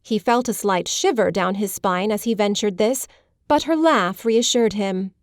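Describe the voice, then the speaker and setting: A woman narrating a literary audiobook in a measured, polished storytelling manner. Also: mid-range voice, dry recording, clean studio sound.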